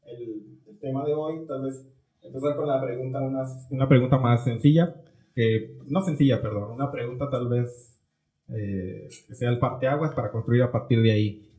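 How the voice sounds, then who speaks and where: A man's voice: medium pitch, moderate pace, mellow. A man co-hosting a podcast, asking long, rambling questions that he corrects as he goes. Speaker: podcast co-host. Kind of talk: casual interview questions. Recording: roomy echoey room.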